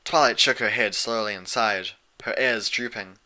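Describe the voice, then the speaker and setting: A man's voice: on the low side, normal speed, pushed and bright. A man narrating a fan fiction audiobook in a scripted, literary storytelling way.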